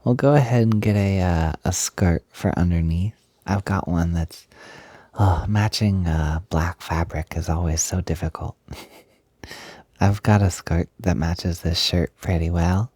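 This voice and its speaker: A man playing a gentle, enthusiastic young roommate in an intimate audio roleplay, talking softly, hesitantly and reassuringly with a breathy voice. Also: low-pitched, unhurried, no echo. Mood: focused, chatty. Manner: soft, hesitant, giggly, reassuring. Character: gentle, enthusiastic young male roommate who loves frilly clothes.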